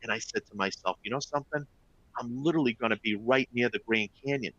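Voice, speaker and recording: A man's voice: medium pitch, quick pace, fairly bright tone, muffled recording. Upbeat Boston man giving a webinar. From Boston area, US. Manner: upbeat, keeps checking in with "all right?" and "folks". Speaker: travel company presenter. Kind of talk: live webinar presentation to travel agents.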